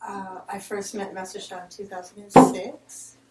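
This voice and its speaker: A woman's voice: mid-range voice, little pitch movement, moderate pace. A woman leading a group class, encouraging others to introduce themselves, speaking with fillers. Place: clinic room. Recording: roomy, distant mic, faint noise.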